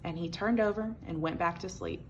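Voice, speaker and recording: A woman reading a children's picture book aloud as an expressive storyteller. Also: lowish voice, a little fast, highs cut off, slight background noise.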